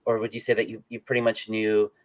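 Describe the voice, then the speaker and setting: A man's voice: fairly low pitch, completely flat delivery, fast. Casual, roundabout male interviewer. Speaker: interviewer, channel host.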